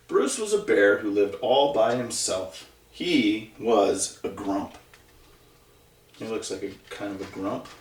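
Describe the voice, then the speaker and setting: A man reading a picture book aloud to young children, conversationally, with chatty asides and questions to the listeners. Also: lowish voice. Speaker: storybook reader. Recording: roomy echo, distant mic.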